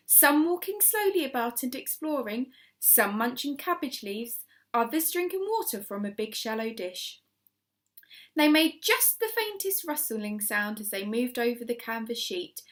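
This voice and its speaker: A woman reading a children's storybook expressively, as a primary school story reader, stressing key words. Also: a little high.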